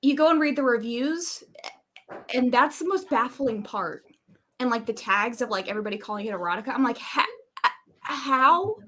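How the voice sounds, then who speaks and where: A woman with a bright, forceful voice pitched on the high side. She talks casually and emphatically, like a book reviewer co-hosting a live chat. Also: animated, normal speed, a little hiss or hum.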